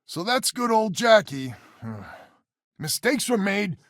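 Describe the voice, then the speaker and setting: A stubborn, weary leader of space settlers in a video game. He is folksy and sardonic, his voice set a little high for a man and moving freely up and down, recorded close and dry. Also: big pitch swings, slow pace, a bit bright, no echo, exaggerated voice. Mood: defensive, rueful. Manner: folksy, sardonic, plain-spoken. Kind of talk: video game character dialogue.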